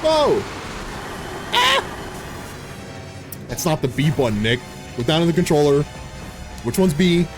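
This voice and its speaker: An excitable man in his thirties gives casual commentary as he plays, cracking jokes and laughing often. His voice sits a little high for a man and swings widely in pitch. Game music plays clearly behind him. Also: unhurried, long pauses. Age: 30s. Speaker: game streamer. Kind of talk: casual live gameplay commentary.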